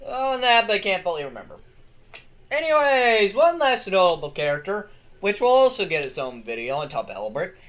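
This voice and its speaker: A young man hosting a lore video, reading his script in a casual, excitable way, swearing freely and breaking into yells. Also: high voice, normal speed.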